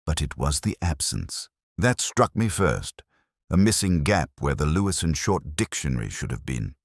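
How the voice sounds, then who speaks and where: A man playing a weary, pensive detective inspector who narrates his case in a measured, literary, brooding way. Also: extremely low pitch, big pitch swings, no echo, studio quality, heavily acted. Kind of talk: scripted first-person mystery narration.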